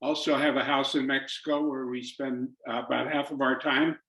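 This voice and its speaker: A man's voice: medium pitch, level tone, moderate pace, fairly bright tone. An American man with a mid-range voice introduces himself casually. His phrasing is clipped and fragmentary. The call sounds roomy and echoing. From California. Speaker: new attendee. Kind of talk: brief casual self-introduction. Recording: echoey room over video call.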